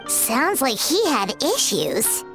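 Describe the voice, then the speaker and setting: A woman voicing a small, childlike, curious and chatty companion creature in a video game, with a squeaky voice. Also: sing-song, slow, exaggerated voice. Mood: amazed. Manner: exclamatory, folksy, nosy. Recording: background music under the voice.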